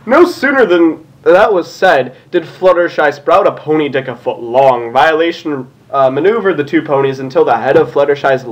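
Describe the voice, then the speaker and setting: Man, slightly high voice, swooping intonation, casual amused fan fiction narrator, giggly. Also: lively intonation, normal speed, few pauses. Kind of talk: amused comedic read-aloud with asides.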